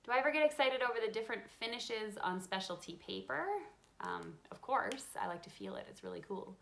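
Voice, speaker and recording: A woman in her thirties chats easily through a live question-and-answer session at home. Her voice is bright and clear, sitting at a middle pitch for a woman. She reads viewer questions aloud and answers in a loose, friendly way, dotted with ums. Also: a little fast, a little hiss or hum. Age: 30s. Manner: chatty and relaxed, reading out viewer questions with frequent ums. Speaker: craft channel host. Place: home craft room with a desk, lit by a window.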